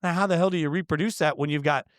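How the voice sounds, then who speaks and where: A man appearing as a guest on a sports podcast, telling animated stories full of fillers. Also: a little high, rapid-fire, smooth, dry recording, clean studio sound. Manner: animated storyteller, lots of fillers. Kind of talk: casual interview storytelling.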